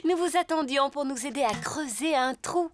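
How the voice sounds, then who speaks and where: A woman's voice: high-pitched, normal speed, loud music behind the voice, natural voice. A woman voicing a kindly, encouraging nursery teacher who explains things to children in a cartoon dub.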